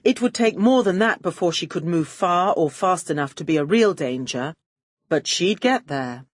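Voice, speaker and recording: A woman's voice: lowish voice, lively intonation, moderate pace, no echo, clean studio sound. A woman reading a first-person detective story as an audiobook narrator, with a wry, arch and poised delivery.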